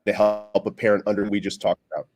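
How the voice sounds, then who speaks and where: Low-voiced man in casual panel talk. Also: little pitch movement, fast, a little mellow. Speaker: panelist, parent.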